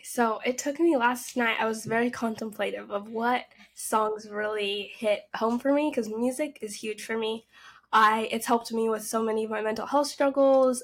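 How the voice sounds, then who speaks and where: A nineteen-year-old woman who grew up in the United States talks as a podcast guest. Her voice sits a little high. She tells her stories in a chatty, upbeat way. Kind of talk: casual interview.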